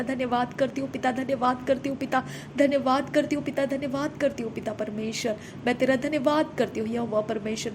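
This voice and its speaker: A woman leading a fervent spoken prayer, repeating thanksgiving phrases in a steady, chant-like rhythm. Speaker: prayer minister. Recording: noisy recording with low rumble.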